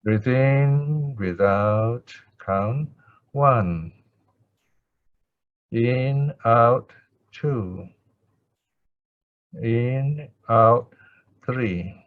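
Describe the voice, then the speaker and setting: Slow, low-voiced male meditation teacher. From Singapore. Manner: calm, measured, short pausing phrases. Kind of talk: Pali chanting and slow guided breath meditation. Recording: thin phone-like audio, very dry.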